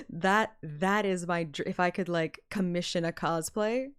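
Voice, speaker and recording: Slow-paced woman streamer chatting casually. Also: lowish voice, clear, resonant tone. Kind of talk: casual chat during a game stream.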